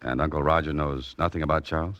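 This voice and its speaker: Very low-voiced sardonic male adventurer, hard-boiled 1940s radio drama, close and dry sound. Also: steady tone, fast, a bit bright, dry recording, highs cut off. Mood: wry, cool. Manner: dry, sardonic, clipped wisecracking. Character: wry, self-mocking adventurer and mystery writer, nobody's fool.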